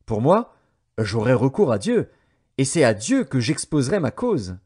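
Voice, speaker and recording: Male narrator, solemn scripture reading, mellow tone, strongly varied melody, measured dramatic phrasing. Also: mid-range voice, big pitch swings, slightly rough.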